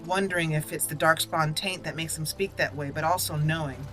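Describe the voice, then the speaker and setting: A woman's voice: low-pitched, fairly even intonation, fairly quick, over music. A woman giving roleplay commentary as the host of a gaming channel.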